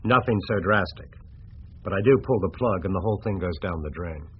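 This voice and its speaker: An actor playing a confident city-bred deal-maker in a radio drama, speaking in a measured, clipped, assured way. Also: male voice, sits low, lively intonation, slightly rough. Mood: assured, instructing. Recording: dull radio recording with background noise.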